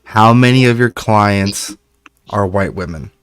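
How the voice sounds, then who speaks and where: A man's voice: fairly low pitch, unhurried, smooth, no room sound. A man in casual panel chat who asks pointed, probing questions.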